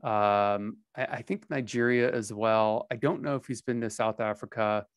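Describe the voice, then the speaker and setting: A man's voice: fairly low pitch, steady tone, normal speed, smooth, dry recording. A man in his forties from the United States hosting a podcast interview over video, talking in a rambling, hesitant way full of "you know" and "um", on a close headset mic. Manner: rambling, hesitant, many fillers. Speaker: podcast host. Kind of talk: casual interview conversation. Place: small home studio room.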